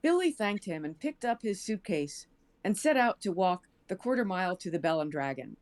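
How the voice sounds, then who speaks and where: A woman's voice: mid-range voice, sing-song, moderate pace, a little mellow. An American woman reading fiction aloud as a storyteller. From United States. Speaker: show cast member reading a story aloud.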